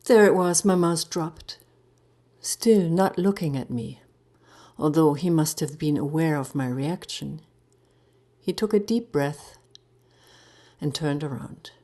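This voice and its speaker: Low-voiced woman storyteller, slow and mellow. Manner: deliberate, theatrical, breaking into hushed phrases. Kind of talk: dramatic first-person story narration.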